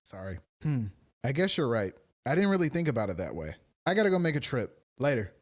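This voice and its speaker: Man acting out a candid young friend, expressive voice. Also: mid-range voice, brisk. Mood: subdued. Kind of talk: acted skit dialogue in a video essay.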